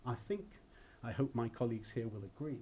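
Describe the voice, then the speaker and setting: A man giving a formal, prepared introductory speech as an academic colleague, in a measured and articulate way. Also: mid-range voice, mellow, slight background noise. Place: lecture hall at a university event.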